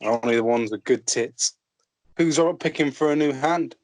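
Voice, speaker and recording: A man's voice: medium pitch, normal speed, dry recording, lightly acted, low-quality recording. A man playing a blunt, irreverent redheaded brothel woman in an amateur script table read. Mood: cheeky, blunt.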